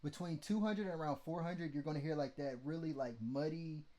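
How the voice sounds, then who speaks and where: Mid-range male tutorial host, slightly high, laid-back and profane. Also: fairly quick, dark tone. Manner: loose and blunt, swears freely, lots of "like" and "okay?". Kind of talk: casual how-to teaching.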